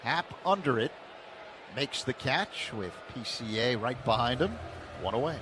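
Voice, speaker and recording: A man calling live baseball play-by-play on radio, brisk and steady pitch by pitch. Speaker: play-by-play announcer. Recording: noisy radio broadcast.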